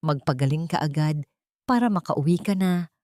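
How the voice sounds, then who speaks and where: A woman's voice: sits low, animated, normal speed, mellow, dry recording, studio quality. A woman voicing a stern, domineering elderly mother-in-law in an audio story, commanding and reproachful. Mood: cold, reproachful.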